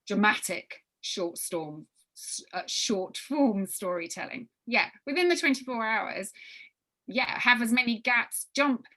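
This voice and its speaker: Bright-voiced woman giving an emphatic informal briefing. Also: fairly high pitch, lively intonation, a little slow, rough recording. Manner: emphatic, repeating words and phrases as she thinks aloud. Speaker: workshop leader briefing young writers. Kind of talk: informal spoken briefing with question and answer.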